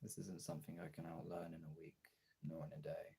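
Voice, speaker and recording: A man chatting candidly on a livestream in a halting, husky, gravelly voice, swearing casually, recorded on a phone. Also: a bass voice, flat delivery, mellow. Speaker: live streamer and voice actor. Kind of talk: casual confessional livestream chat.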